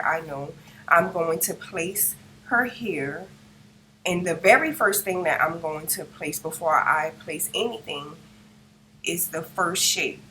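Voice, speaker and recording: Hoarse woman walking through a craft tutorial. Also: fairly low pitch, bright, forceful tone, slight background noise, rough recording. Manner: talks through each click as she works, checking in with "okay?". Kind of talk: step-by-step screen tutorial narration. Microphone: no microphone, device's built-in mic.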